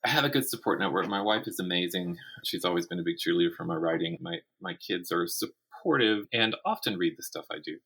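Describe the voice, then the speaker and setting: A middle-aged man with a low, husky voice that still carries a bright, forward edge. He talks conversationally as an interview guest, thinking aloud and restarting phrases as he goes. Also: fairly quick, hardly any pauses, poor audio. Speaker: guest, writer and academic. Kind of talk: conversational interview about writing craft.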